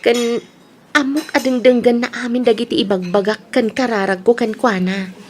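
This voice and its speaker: A woman with a Filipino accent playing a cheerful, hardworking young student in an expressive, girlish way in an Ilocano radio drama. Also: on the high side, normal speed, a little hiss or hum, natural voice. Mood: weary, earnest. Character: cheerful, hardworking young female working student, weary but hopeful.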